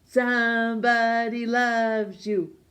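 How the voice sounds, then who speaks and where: A woman voicing a secretive, tender dust cloth from a storybook in a breathy whisper. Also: a little high, flat delivery, drawn-out words, fairly bright tone, voice pushed up. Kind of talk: storybook character voice.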